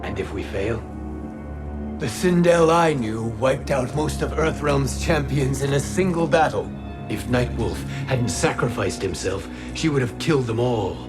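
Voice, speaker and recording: An actor playing a cautious, principled warrior in a video game cutscene, sober and concerned. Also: male voice, medium pitch, unhurried, music in the background, close to an everyday voice. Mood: grave, doubtful. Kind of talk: cinematic video game acting.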